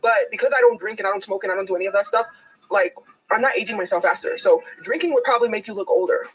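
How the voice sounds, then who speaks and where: A woman's voice: mid-range voice, rapid-fire, breathy edge, rough recording. A woman around thirty, talking on her livestream in a defensive, rambling way. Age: 30s. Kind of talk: livestream chat.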